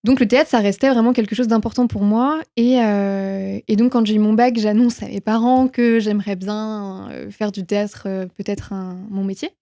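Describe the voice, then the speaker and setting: Dry, mellow-voiced young woman in conversation. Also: medium pitch, steady tone, normal speed, hardly any pauses, dry recording. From France. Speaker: interview guest, film production manager. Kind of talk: casual conversational interview, personal anecdotes.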